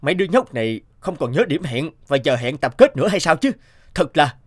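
A man's voice: on the high side, big pitch swings, brisk, dry recording, clean studio sound. A man voicing a stern, dutiful army squad leader in a cartoon, formal and commanding. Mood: impatient.

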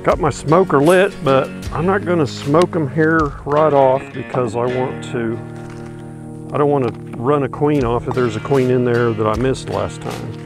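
A man talks casually and folksily as he works his beehives, thinking aloud between fillers. His voice sits at an ordinary middle pitch. Clear music and buzzing bees fill the background of this outdoor recording. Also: steady tone, moderate pace. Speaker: beekeeper vlogger. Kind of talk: casual narration while working. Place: outdoors in a wooded bee yard.

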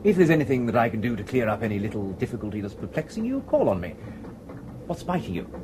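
An actor playing a verbose, unflappable gentleman impostor in a radio comedy, elaborately polite and droll, with an upper-class English accent. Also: medium pitch, big pitch swings, fast, close to an everyday voice. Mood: smooth, unruffled. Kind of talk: 1980s radio comedy acting. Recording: noisy old radio drama recording.

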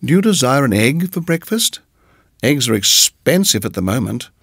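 A man's voice: mid-range voice, sing-song, moderate pace, a bit bright, husky, no room sound, full character voice. A syrupy, fussy middle-aged landlady, as a male storyteller raises his voice for her. She coos every line in a lilting, overly pleasant way that never quite settles. The sound is dry and close. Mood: cooing sweetness. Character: sweetly unsettling middle-aged landlady woman.

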